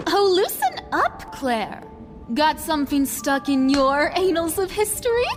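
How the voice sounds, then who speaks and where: A woman's voice: sits high, sing-song, a little slow, music in the background. A woman playing a vain, carefree young woman in an audio drama, with a breezy, teasing, theatrical delivery.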